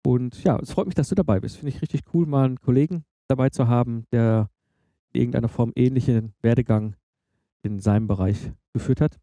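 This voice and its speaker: Very mellow male podcast interviewer. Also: medium pitch, fairly quick, dry recording, studio quality. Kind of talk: casual interview conversation.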